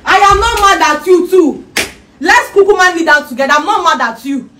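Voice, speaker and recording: A woman's voice: high-pitched, animated, fast talker, bright, forceful tone, far from the mic, slight background noise. A woman with a Nigerian accent playing a stubborn, fed-up wife in a relationship drama, emphatic and repetitive. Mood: pleading, worked up. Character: stubborn, fed-up young wife determined to leave. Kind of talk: relationship drama acting with Pidgin expressions.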